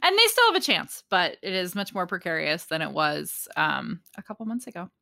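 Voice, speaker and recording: A woman's voice: lowish voice, sing-song, brisk, vocal fry, sharp tone, no echo, studio quality. A woman hosting a casual sports chat, giggly and easily amused. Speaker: sports podcast host.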